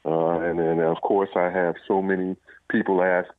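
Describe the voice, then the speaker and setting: A man being interviewed as an author over a muffled remote line, talking casually and often halting to search for words. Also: deep voice, level tone.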